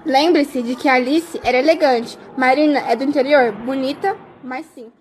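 A woman playing a snobbish high-society dinner guest in an amateur radio drama. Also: moderate pace, slight background noise, lightly acted.

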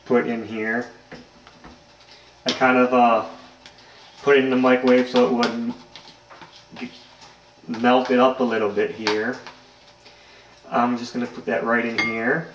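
Guy explaining a recipe, loose and hesitant, mid pitch, echoey kitchen. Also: fairly bright tone. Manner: rambling, lots of ums and restarts. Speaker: home cooking vlogger. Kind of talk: casual cooking demonstration. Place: home kitchen, indoors. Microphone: handheld camera mic. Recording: echoey kitchen, faint noise.

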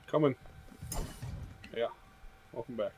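Mid-pitched man casually playing a video game. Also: slow pace, narrow, tinny audio, over music. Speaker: let's play co-host. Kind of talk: casual gaming chat.

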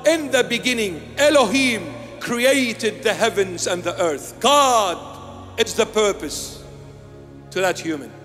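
Older bishop from iraq, high voice, repeats himself for emphasis, long church echo. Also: male voice, animated, slow pace, a bit bright, faint music behind. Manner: emphatic, repeating key phrases. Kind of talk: sermon. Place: small church with altar and candles. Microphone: handheld microphone.

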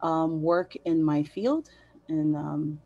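A woman's voice: low voice, slow, dark tone, dry recording. A middle-aged woman from American Samoa and Hawaii, answering interview questions conversationally as a guest. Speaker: interview guest, census partnership specialist. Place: indoors on a video call. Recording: dull lo-fi video call.

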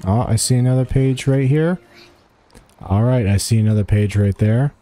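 A man with a soft, mellow, mid-range voice talks through a video game guide in a casual way. He thinks aloud and addresses the characters, with some music behind him. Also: medium pitch, normal speed. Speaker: gaming guide host.

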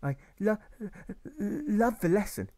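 A man voicing a nervous, earnest young boy who stammers and pleads haltingly in an audiobook story. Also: high voice, very animated, warm tone, pitched above the performer's own voice.

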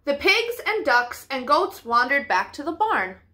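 A woman's voice: sits high, measured pace. A woman in her twenties reading a children's picture book expressively as a storytime host. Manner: animated, clearly paced for children. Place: living room, indoors.

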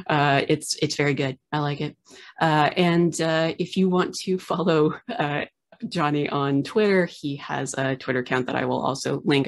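Woman, 30s, low-pitched, casual chatty host on a webcam call, filler-heavy and self-mocking. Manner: chatty, many filler uhs, self-deprecating jokes. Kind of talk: casual video-call interview. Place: home room with framed art on the wall.

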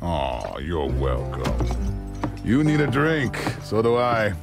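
A big Mexican trapper, with a very deep voice that swings widely as he talks. He is gruff, cocky and wry, a hard-drinking western game hero with faint music behind him. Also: wildly swooping pitch, slow, full character voice. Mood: cocky, amused. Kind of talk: video game cutscene acting.

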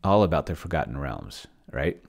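Very deep, gravelly middle-aged man thinking aloud. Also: very animated, moderate pace. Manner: rambling, thinking aloud, trailing off into mumbles. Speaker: host of a tabletop roleplaying experiment stream. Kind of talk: casual, unscripted livestream commentary.

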